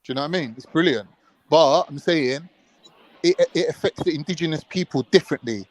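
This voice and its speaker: A man's voice: a little high, brisk, rough recording. A man arguing his point on a live panel, interrupting and pressing others with repeated questions. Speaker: panel guest. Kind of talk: heated debate on a live call-in panel.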